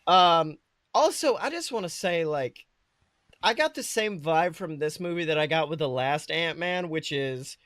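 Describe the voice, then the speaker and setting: A woman co-hosting a podcast, chatting casually about films in a blunt, profane, jokey and animated way. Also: low voice, measured pace, no echo, clean studio sound. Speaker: podcast co-host. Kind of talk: casual film review chat.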